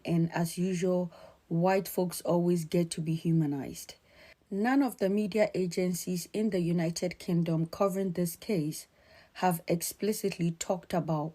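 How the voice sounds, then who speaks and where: A middle-aged woman from Ghana giving earnest, measured, emphatic spoken commentary. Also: on the low side, warm tone.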